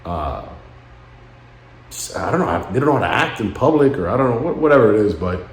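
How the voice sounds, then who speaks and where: Guy late 30s vlogging his thoughts, kinda low voice, halting and somber, echoey room sound. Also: very animated. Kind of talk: reflective personal vlog monologue.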